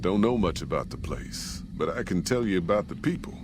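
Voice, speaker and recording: An American actor playing a gruff, folksy caravan boss in a post-apocalyptic video game, with plain-spoken frontier drawl. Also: male voice, low-pitched, animated, brisk, noisy background. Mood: matter-of-fact. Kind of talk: scripted video game character dialogue.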